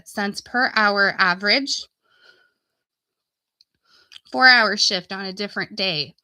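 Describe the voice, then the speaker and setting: Lady chatting on a livestream, bright voice thats starting to go from a cold, super dry close sound, folksy. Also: medium pitch, dry recording. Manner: informal, folksy, addressing viewers directly. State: getting sick, losing her voice. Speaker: online coach and live stream host. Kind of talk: casual live stream chat and sales pitch.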